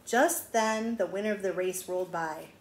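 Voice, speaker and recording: A woman's voice: medium pitch, moderate pace. Woman reading to children, echoey room. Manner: emphatic, with explanatory asides to young listeners. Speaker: children's teacher reading a picture book aloud. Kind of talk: expressive read-aloud storytelling for young children. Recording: echoey room with faint background noise.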